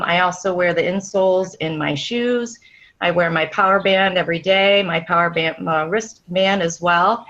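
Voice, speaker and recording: A woman's voice: on the low side, sharp tone. A woman in her forties from upstate New York, sharing a personal testimonial in an earnest way, with many ums. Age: 40s. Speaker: guest giving a testimonial. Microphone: computer mic on a webinar call. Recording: dull lo-fi call audio.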